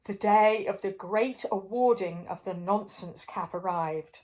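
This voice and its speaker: An older woman reading a children's story aloud expressively, pausing for drama and putting questions to the listener. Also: mid-range voice, a little mellow, low-quality recording. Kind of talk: animated read-aloud to young children.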